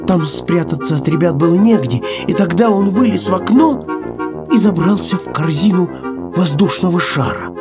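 A man narrating a children's story in an expressive, scripted storytelling style. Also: a little high.

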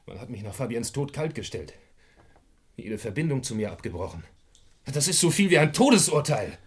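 Husky cornered gangster. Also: male voice, mid-range voice, very animated, normal speed. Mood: anxious, bitter. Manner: terse, defensive, dramatic emphasis. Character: cornered male gangster confessing, bitter and defensive. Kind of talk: German radio crime drama acting.

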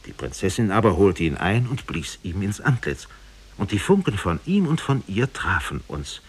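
A man's voice: low voice, sing-song, normal speed, breathy edge. A man narrating a fairy tale in a solemn, dramatic 1950s radio storytelling style.

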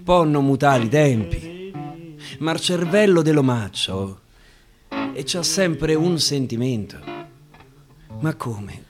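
Male stage storyteller, Roman accent, dramatic dialect recitation, very varied pitch and slow delivery over guitar. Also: expressive. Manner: dramatic, repeating phrases for emphasis. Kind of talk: theatrical recitation of dialect verse. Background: acoustic guitar.

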